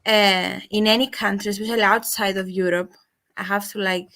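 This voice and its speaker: A woman's voice: medium pitch, steady tone, normal speed, fairly bright tone. A young woman from Cyprus whose first language is Greek, calling in and chatting in English with hesitant restarts and fillers. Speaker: caller. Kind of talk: casual call-in chat.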